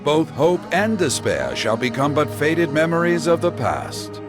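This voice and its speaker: A man's voice: fairly high pitch, sing-song, unhurried. A man playing an enigmatic, knowing guide in a video game, with formal archaic diction and a theatrical, portentous delivery. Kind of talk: dramatic video game cutscene acting. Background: game music.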